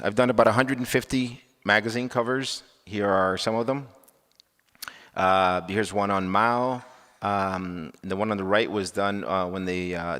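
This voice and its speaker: A deep-voiced man walks an audience through his slides. He speaks earnestly, often restarting phrases, and his voice carries a long reverb from a large hall. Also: a bit bright. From Cuba. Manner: earnest, with frequent restarts and fillers. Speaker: artist giving a talk. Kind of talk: presentation of his work with slides.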